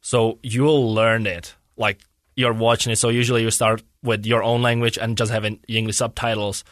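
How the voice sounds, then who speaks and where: A man's voice: fairly low pitch, level tone, normal speed, fairly bright tone, no echo, studio quality. A young man from Czechia speaking English as an in a casual interview, searching for words and rambling earnestly. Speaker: guest, hockey player.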